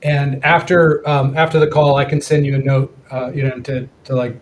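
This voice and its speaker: A man with a mid-pitched voice facilitates an online group call. He speaks casually and haltingly, thinking aloud as he goes. Clear noise sits behind his voice on the call. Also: level tone. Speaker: working group meeting facilitator. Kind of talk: casual online meeting talk.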